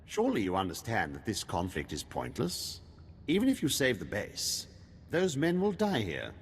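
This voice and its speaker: Villain role, video game: lowish, hugely varied pitch, cold, formal, scornful, faint background noise. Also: male voice, huge pitch swings, normal speed, big-room sound. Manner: theatrical, condescending, formal. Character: smooth, condescending male Imperial villain, coldly confident. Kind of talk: video game cutscene acting.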